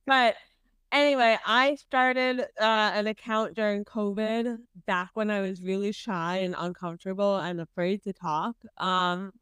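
A woman in her twenties co-hosts a podcast in easy, quirky chat, laughing often. Her voice is exceptionally clear and smooth. The sound is dry and close to the mic. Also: mid-range voice, fairly even intonation, unhurried, fairly bright tone, no echo. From New York. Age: 20s. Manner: giggly, quirky, chatty. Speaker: podcast co-host. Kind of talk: casual chat.

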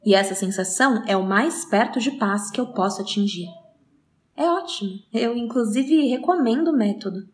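An actress playing a solitary, obsessive woman with a wry enthusiasm, in an intimate audio drama monologue with deliberate, expressive phrasing. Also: fairly high pitch, close to an everyday voice. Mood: precise, contented. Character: obsessive sound-counting woman, solitary, precise, wryly enthusiastic.

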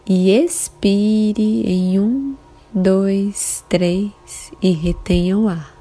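A Brazilian woman leading a guided meditation in a calm, soothing, measured way with long pauses between phrases. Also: mid-range voice. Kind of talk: slow guided meditation narration. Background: soft sustained meditation music.

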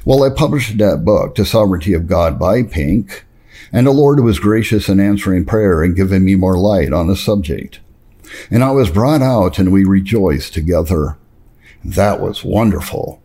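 A man reading a religious history memoir aloud, breaking off for spoken asides, in an earnest, emphatic storytelling way with dramatic pauses. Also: low-pitched, lively intonation, mellow, husky. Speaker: reader and narrator of religious history.

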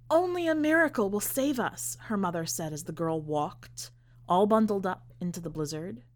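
A woman narrating a scripted literary short story in a measured, deliberate way, with dramatic pauses. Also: lowish voice. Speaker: podcast host and story reader.

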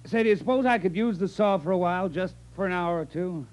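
An actor in a 1950s radio comedy playing an easygoing village carpenter, folksy and laconic. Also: male voice, high-pitched, quick pace, darkish tone, lightly acted. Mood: matter-of-fact.

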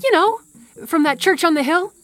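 A woman's voice: very high-pitched, expressive, normal speed, fairly bright tone. An actress playing a flippant, irreverent modern witch in a comic audio drama, casual and chatty. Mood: chummy. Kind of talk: audio theatre comedy acting.

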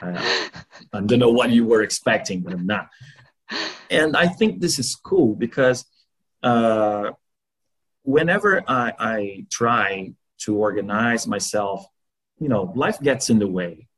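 A Brazilian man whose first language is Portuguese talks in a casual, storytelling way. His pitch moves widely and expressively, and his voice has a husky grain. He often repeats a phrase to underline it. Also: unhurried, poor audio. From Brazil, Rio. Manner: animated, repeats phrases for emphasis. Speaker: podcast guest, language learner.

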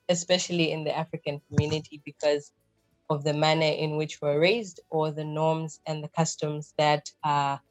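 A woman in her thirties from Zambia speaks as a podcast guest. Her voice is low and level, and she explains things thoughtfully with plenty of fillers. The recording is dry, with faint music underneath. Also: dry recording. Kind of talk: conversational interview answers.

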